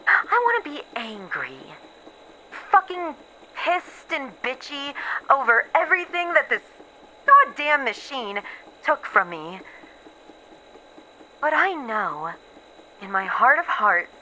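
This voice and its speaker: A woman playing a weary, haunted researcher who is losing her memories, in a halting, introspective horror audio roleplay monologue. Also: high voice, sing-song, sharp tone, slight background noise, full character voice, low-quality recording. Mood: anguished, bitter. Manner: halting, introspective, pausing between short phrases.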